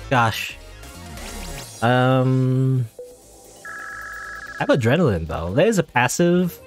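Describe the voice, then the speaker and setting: A man talks his way through a video game in a loose, wandering commentary. His pitch swings up and down a lot as he goes, and he keeps stopping to correct himself. Faint game music plays underneath. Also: very animated, takes it slowly. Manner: rambling, chatty, self-correcting. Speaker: gaming channel host. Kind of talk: casual let's play commentary.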